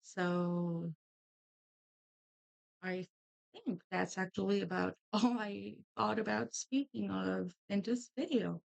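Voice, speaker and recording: Woman, Quebec French accent, young adult, gravelly edge, dry close sound, casual crafting chat. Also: mid-range voice, slow pace, long pauses, no room sound, poor audio. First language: Canadian French. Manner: relaxed, rambling, many restarts and fillers. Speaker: crafting channel host.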